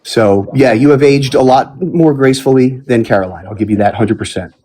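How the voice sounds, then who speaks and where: A man hosting a casual live-streamed panel debate, animated and given to loud exclamations of disbelief. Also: mid-range voice, a little fast. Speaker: panel host. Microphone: phone or computer mic on a live stream.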